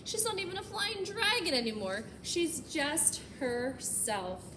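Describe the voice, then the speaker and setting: A woman reading a picture book aloud as a storytime host, with expressive, animated delivery and chatty asides aimed at young children. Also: sits high, measured pace, background noise.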